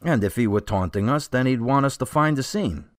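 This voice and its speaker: A man's voice: medium pitch, animated, a little fast, no echo, studio quality, close to an everyday voice. An actor playing a plain-spoken, skeptical male police officer in a radio drama, matter-of-fact and defensive. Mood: helpful, matter-of-fact.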